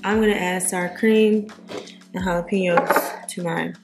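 A woman's voice: on the low side, steady tone, a little slow, heavy background noise. Chatty mom vlogger woman. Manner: chatty, teasing, scolds her daughter bluntly. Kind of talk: casual vlog chat to camera. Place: home kitchen and backyard pool. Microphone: handheld camera at arm's length.

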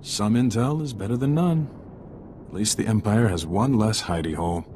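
A man's voice: lowish voice, normal speed, dark tone, a little hiss or hum, close to an everyday voice. A man playing a seasoned intelligence agent in a video game, wry and matter-of-fact. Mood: pragmatic.

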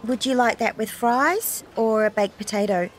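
A woman's voice: fairly high pitch, normal speed, a little hiss or hum, close to an everyday voice. A woman reading the part of a polite, apologetic waitress in a coursebook dialogue.

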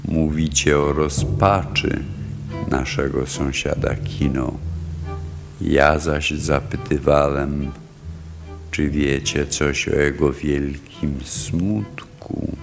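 Very deep, gravelly solemn elder. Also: male voice, fairly even intonation, slow pace, over music, exaggerated voice. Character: solemn village elder leading a chorus. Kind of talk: radio play acting, solemn narration.

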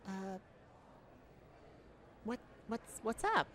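Woman playing a slow, sweet-talking male lounge singer. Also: mid-range voice, sing-song, a bit bright, slight background noise, full character voice. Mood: nervous, polite. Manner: hesitant, giggly, sweet-talking. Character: Kyle, affectionate young male bar singer, modest and excitable. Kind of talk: intimate ASMR roleplay.

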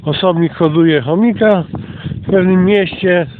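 A high-pitched man with a congested cold narrates his outdoor walk in a casual, meandering, hesitant way. The sound is thin and phone-like, with loud noise all around him. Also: a little slow. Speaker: host and narrator of an amateur outdoor audio recording. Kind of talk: casual rambling commentary while walking. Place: outdoors, walking along a rural path.

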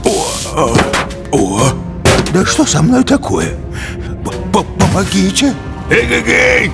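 A man's voice: sits high, very animated, takes it slowly, creaky, over music, full character voice. A man voicing a bumbling, lazy round bear in a cartoon, with folksy exclamations, groans and whines. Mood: distressed. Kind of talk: animated cartoon acting.

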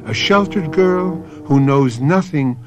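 An actor serving as solemn host and narrator of a Gothic radio drama. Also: male voice, on the high side, animated, slow, a little mellow, soft background music. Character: solemn storyteller of a Gothic mystery show.

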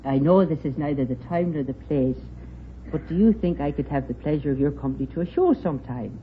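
A man's voice: fairly high pitch, lively intonation, brisk. A man with a Northern Irish accent, chatting and telling stories as a contestant on a 1950s radio quiz. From Northern Ireland. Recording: dull old radio recording, audience, hiss.

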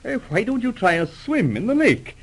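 An actor playing a gentle, eager, henpecked doctor in a 1930s radio drama, with a placating manner. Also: male voice, high voice, very animated, normal speed, slightly rough, heavily acted. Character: mild, eager, henpecked surgeon husband.

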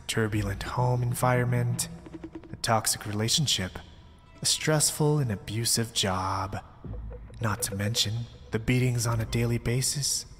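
A man's voice: medium pitch, lightly acted. A man playing a mysterious young zealot, polite, pompous and persuasive, in an ASMR roleplay drama. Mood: coaxing. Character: mysterious, smooth-talking young male zealot cult recruiter. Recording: long reverb, background music. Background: music.

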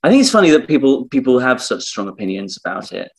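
Guy improv performer chatting casually, mid pitch. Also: very animated, fast, husky. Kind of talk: casual chat.

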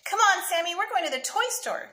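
Woman acting a patient, bright-voiced storybook mother. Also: extremely high pitch, lively intonation, a little fast, voice pushed up, exaggerated voice. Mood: cheerful. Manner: gentle, patient reasoning. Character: patient, gentle mother of a young boy in a storybook. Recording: roomy echo, faint noise.

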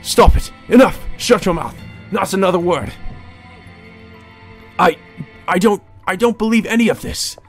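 A man's voice: high-pitched, a little slow, pauses often, soft background music, voice pushed up. A man voicing a distraught, defensive young nobleman in a visual novel game, stammering and indignant. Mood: desperate, indignant.

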